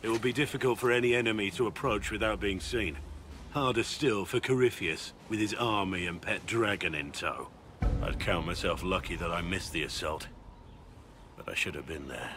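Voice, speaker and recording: An actor playing a grave, weary, duty-bound veteran warrior in a video game, speaking in an earnest, formal, measured way. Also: male voice, deep voice, noisy background, close to an everyday voice. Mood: grim resolve. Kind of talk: dramatic video game dialogue.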